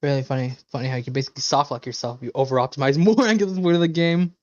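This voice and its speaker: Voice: medium pitch, rapid-fire, dull audio, a little hiss or hum. A game streamer giving casual, sweary, offhand reaction commentary in a middle-register voice.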